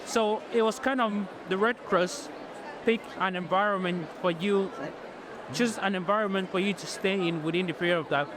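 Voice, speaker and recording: A man from Nigeria, giving measured, thoughtful answers in an interview. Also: high voice, noisy background.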